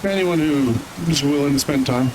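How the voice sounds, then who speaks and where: A man's voice: a little high, normal speed. A man roleplaying in character, chatting casually in an online fantasy game. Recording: noisy online game voice chat, rain-like noise.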